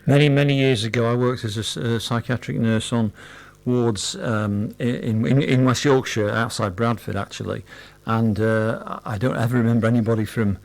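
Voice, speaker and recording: A man's voice: mid-range voice, fairly even intonation, moderate pace, gravelly. A middle-aged or older man, interviewing a guest conversationally on the radio.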